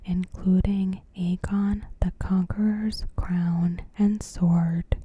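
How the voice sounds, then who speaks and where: A woman narrates in a hushed ASMR whisper, extremely slow and careful. Her voice is very soft and mellow, full of breath, as she reads a scripted recap without any hurry. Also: fairly low pitch, little pitch movement, slightly rough, dull audio. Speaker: ASMR creator.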